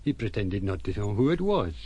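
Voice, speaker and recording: A man's voice: lowish voice, big pitch swings, brisk, warm tone, husky. An actor playing a chatty seafaring man telling anecdotes with amusement in an audio drama. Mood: amused, gossipy.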